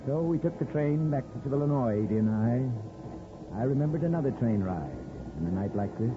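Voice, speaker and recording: An actor playing a gruff, sentimental middle-aged small-town father in a folksy, grumbling manner, in 1950s radio drama style. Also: medium pitch, animated, normal speed, lightly acted. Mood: wistful narrating. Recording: muffled 1950s radio broadcast, music.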